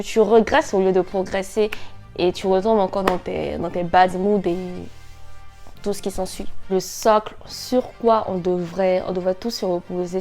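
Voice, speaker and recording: A woman of nineteen chatting casually to camera as a vlogger, in an animated, slangy way with English words mixed in. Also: medium pitch. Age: 19, nearly twenty.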